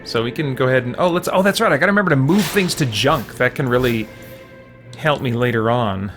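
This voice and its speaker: A man's voice: medium pitch, fairly quick. Man doing casual gaming commentary over music. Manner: thinks aloud, exclaims at the game. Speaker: gaming video host playing a fantasy RPG. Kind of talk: casual let's-play commentary.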